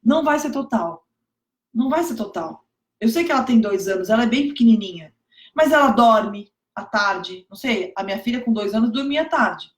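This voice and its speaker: A hoarse woman teaching exam preparation on a live stream, emphatic, repeating key points and checking that viewers follow. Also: on the high side, expressive, normal speed. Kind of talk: motivational live stream talk. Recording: echoey room.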